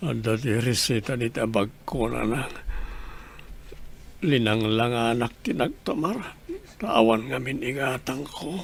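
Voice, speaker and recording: Slow, creaky, remorseful sick man played by an actor. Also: mid-range voice, a little hiss or hum. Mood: weary. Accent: Ilocano. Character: sickly, remorseful grown son who abandoned his family. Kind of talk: Ilocano radio comedy drama.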